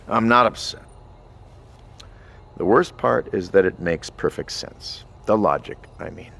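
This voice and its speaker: A man's voice: on the low side, gravelly, a bit bright, heavily acted. An actor playing a sardonic, bitter male scientist resigned to his fate, in audio drama dialogue. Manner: sardonic, wry, swearing.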